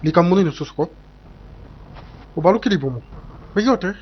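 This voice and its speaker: An excitable, boastful man in a cartoon comedy played by a male actor, blustering in a high, quick voice. Music plays behind him on a muffled soundtrack. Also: slight background noise. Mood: argumentative. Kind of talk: animated comedy dialogue. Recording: dull lo-fi track with music behind.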